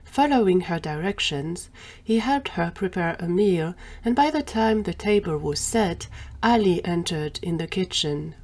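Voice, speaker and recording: Woman narrator reading a scripted story, voice a little on the low side. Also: animated, a little slow, darkish tone.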